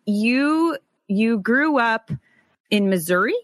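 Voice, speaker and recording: A woman's voice: a little high, animated, takes it slowly, dry recording, studio quality. A woman hosting an interview in a casual, joking way, teasing her guest and asking questions loosely. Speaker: podcast host.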